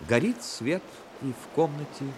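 A man narrating a 1960s radio drama as a measured literary storyteller. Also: on the high side, animated, background noise.

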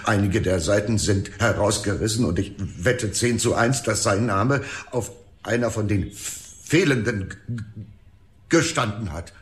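An actor playing an excitable police detective in a noir radio drama. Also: male voice, on the low side, unhurried, lightly acted. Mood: agitated, sure.

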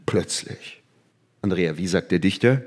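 An actor playing an exuberant, impatient scientist and teacher in a theatrical reading, declaiming with emphasis and exasperation. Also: male voice, low-pitched, unhurried, a little mellow. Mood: enthusiastic, lyrical.

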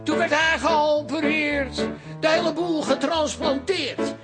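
A man's voice: very high-pitched, steady tone, slow, over loud music. A male actor in a comic radio play, playing a cheeky family man who teases in rhyme. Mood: playful.

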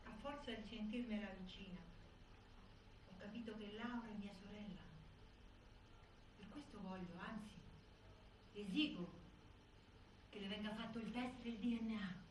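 A woman's voice: medium pitch, a little slow, long pauses, close to an everyday voice. A woman acting a delusional, insistent prisoner in a stage monologue, recorded in an echoey hall. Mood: fixated, hushed. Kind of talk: stage monologue in documentary theatre. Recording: echoey hall, distant mic, faint noise.

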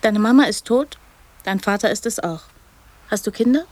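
A woman's voice: medium pitch, animated, normal speed, darkish tone, close to an everyday voice. An actress playing an odd young girl in a radio drama, blunt yet tender, in simple childlike sentences. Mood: curious.

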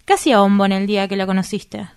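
A woman's voice: medium pitch, steady tone, fast, darkish tone, smooth, close to an everyday voice. A woman with an Argentine accent playing a prim, curious, sardonic woman in a radio drama, questioning and easily disgusted. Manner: questioning, disdainful, dry.

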